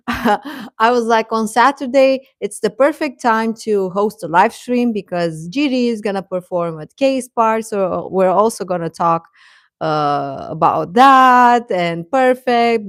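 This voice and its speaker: A woman's voice: medium pitch, lively intonation, slow, no room sound, studio quality. A woman hosting a livestream, chatting casually and informally with her viewers. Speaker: livestream host.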